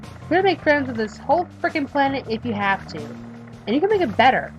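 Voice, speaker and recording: A woman in her thirties reading a visual novel aloud and chatting about it, emphatic while reading and quiet when talking about herself. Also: high-pitched, big pitch swings, fast talker, music in the background. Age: 30s. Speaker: let's play streamer reading a visual novel. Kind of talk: reading game text aloud and commenting.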